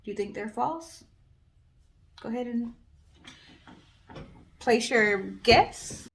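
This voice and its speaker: A woman's voice: a little high, expressive, slow pace, gravelly, rough recording. A woman co-hosting a storytelling guessing show, telling stories in a casual, conversational way.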